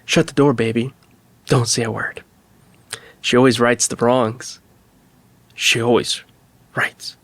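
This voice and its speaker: An earnest, theatrical reciter played by a man reads heartbroken pop lyrics dramatically for a comedy podcast. The voice sits at a medium pitch in a dry recording. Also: fairly even intonation, slow pace, long pauses, fairly bright tone, no echo. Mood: mock earnest. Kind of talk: mock dramatic reading.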